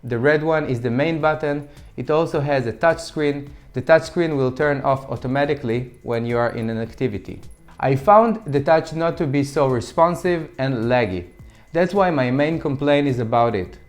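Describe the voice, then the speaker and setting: A man's voice: mid-range voice, moderate pace, faint music behind. A man whose first language is Hebrew, reviewing a sports watch in a plain, methodical voice-over with occasional stumbles. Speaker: gear reviewer.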